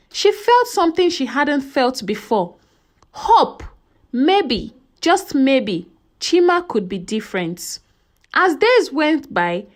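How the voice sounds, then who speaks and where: A woman narrating a folktale as a scripted story, with an expressive, dramatic delivery. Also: high-pitched.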